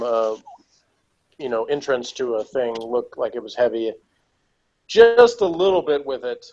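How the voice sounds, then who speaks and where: A man's voice: medium pitch, darkish tone, lo-fi sound. A man chatting casually and unscripted as a reviewer, with a wry, joking manner. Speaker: TV episode reviewer.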